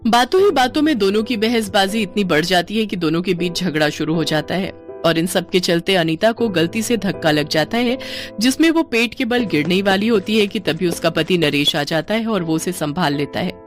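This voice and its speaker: A woman's voice: fairly low pitch, moderate pace, faint music behind. A woman narrator reading a scripted family story.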